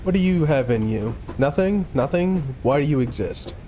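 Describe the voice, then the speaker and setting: A man chatting casually with a friend during a co-op building game, relaxed and quick to laugh. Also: medium pitch, slight background noise, poor audio. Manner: laid-back, laughs often, talks to game objects. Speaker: co-op gameplay player. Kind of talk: casual gaming chat.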